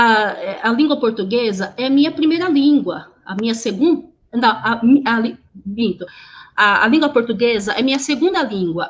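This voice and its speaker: A woman interpreting sign language into speech over a video call, halting, with many restarts and repeated words. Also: a little high, highs cut off. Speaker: sign language interpreter voicing a deaf teacher.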